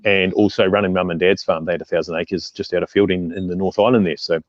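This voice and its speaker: A man in his forties with a New Zealand accent, a mindset coach talking as a guest on a video call in a relaxed, plain-spoken way. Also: deep voice, fast talker, no room sound. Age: 40s. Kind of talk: casual conversational interview. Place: outdoors by a brick wall. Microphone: earphone mic on video call. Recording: dry, dull video call audio.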